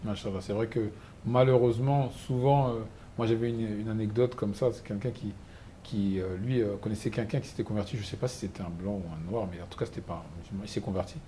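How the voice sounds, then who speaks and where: A man hosting an interview, chatty and lively, telling anecdotes and asking questions. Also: on the low side, fast talker, slight background noise. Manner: chatty, animated storyteller. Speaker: host. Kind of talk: interview, conversational.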